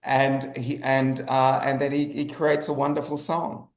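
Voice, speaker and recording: A man from Australia speaks with a mid-range voice in a reverberant room. He explains things casually as an interview guest, with emphasis and repetition to make himself clear. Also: medium pitch, completely flat delivery, unhurried. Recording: roomy echo on the voice.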